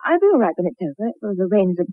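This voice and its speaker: A woman's voice: medium pitch, big pitch swings, racing, no echo. An actress playing an anxious, torn married woman in a 1960s radio drama, hesitant and emotional. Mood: uneasy. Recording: muffled, narrow-band 1960s radio recording.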